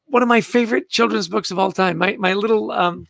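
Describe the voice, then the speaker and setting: Older male host, Canadian-born, high and gravelly, eager casual book-club chat, dry close sound. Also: fairly quick, fairly bright tone, no room sound. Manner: enthusiastic, greets viewers by name.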